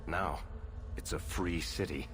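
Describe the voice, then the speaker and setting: A man's voice: low voice, fairly even intonation, unhurried. An actor playing a sly storyteller who tells his tale in a wry, theatrical way. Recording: game cutscene with music.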